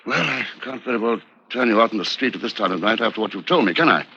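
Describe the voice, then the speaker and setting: Low-voiced, unflappable British gentleman. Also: animated, quick pace, slight background noise. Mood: polite, obliging. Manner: understated, dry, courteous. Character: unflappable, polite, sceptical British gentleman, narrating his adventure. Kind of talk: 1950s radio drama acting and narration. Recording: muffled 1950s radio recording, music behind.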